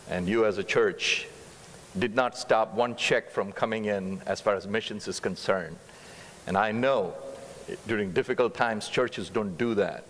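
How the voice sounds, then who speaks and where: A middle-aged man from India with an Indian accent, preaching as a guest missionary speaker in a church, fast-talking and earnest with touches of humor. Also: fairly low pitch. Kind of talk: sermon. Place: church stage, large sanctuary. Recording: long reverb of a church hall.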